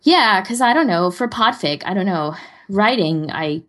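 A woman's voice: mid-range voice, animated, unhurried, a bit bright. A woman co-hosting a podcast, chatting casually in a rambling, self-deprecating way. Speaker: podcast co-host.

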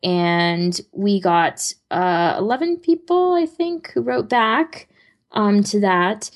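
A woman's voice: medium pitch, lively intonation, slow. A woman co-hosting a podcast, talking things over in a casual, conversational way. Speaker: podcast co-host. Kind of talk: casual discussion.

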